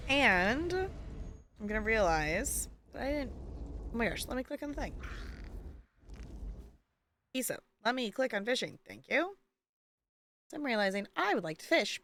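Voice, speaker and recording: A woman with a fairly high, bright voice that swings around a lot in pitch. She chatters casually as a game streamer, playful and a bit theatrical, poking fun at herself over faint background noise. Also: expressive, normal speed, gravelly, long pauses. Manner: playful, theatrical exclamations, self-deprecating. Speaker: live streamer. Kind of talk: casual gaming livestream chatter.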